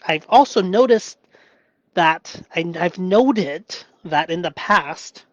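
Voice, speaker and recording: A man from Hong Kong whose first language is Cantonese, talking through his past in a halting, reflective monologue, often checking in with "right?". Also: high-pitched, expressive, dry recording, lo-fi sound. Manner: halting, searching for words, often asks "right?". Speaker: vlogger.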